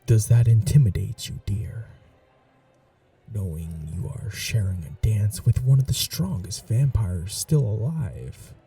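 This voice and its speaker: A slow, seductive ancient vampire lord, played by a man in an ASMR roleplay, his low voice dark, gravelly and breathy with soft whispers. It sounds a little distant from the mic, with faint music behind, and his pitch rises and falls in lively swings. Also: full character voice. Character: ancient royal vampire lord, suave, predatory, flirtatious.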